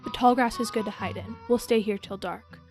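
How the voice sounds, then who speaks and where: Casting: young female reader as resolute woman leader of runaways, firm, commanding, higher-pitched, school radio play. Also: fairly quick, a little mellow, soft background music, natural voice. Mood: stern, commanding. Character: resolute woman guiding escaped slaves, brave and commanding. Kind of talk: school radio drama reading.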